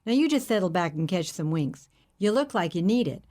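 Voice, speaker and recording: A woman's voice: lowish voice, sing-song, a little fast, darkish tone. A woman voicing a genial middle-aged male hippie truck driver who speaks in a folksy, easygoing drawl.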